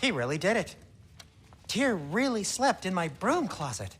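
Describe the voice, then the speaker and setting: A woman's voice: medium pitch, sing-song, measured pace, a little hiss or hum. A woman playing a sardonic, incredulous housekeeper in a fantasy video game. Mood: wryly incredulous. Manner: incredulous, wry. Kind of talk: video game character acting.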